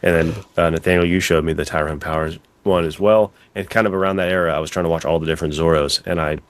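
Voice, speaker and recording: Deep-voiced man hosting a casual podcast. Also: fairly even intonation, fast talker. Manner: informal, conversational. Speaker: podcast host.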